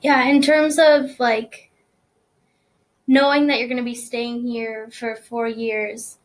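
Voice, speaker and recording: A woman's voice: on the high side, level tone, slow pace, low-quality recording. A woman interviewing a student, who asks short questions and murmurs encouragement often. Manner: attentive, frequent affirming murmurs. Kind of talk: casual interview.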